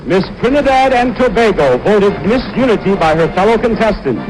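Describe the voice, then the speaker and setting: A man's voice: sits high, expressive, normal speed, darkish tone, poor audio. A man announcing contestants formally at a live pageant, with music behind him.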